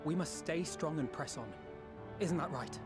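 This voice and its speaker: A resolute young fantasy game hero, voiced by a man, earnest and encouraging. His voice sits a little high for a man, with music clearly playing underneath. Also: normal speed, lightly acted. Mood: earnest resolve.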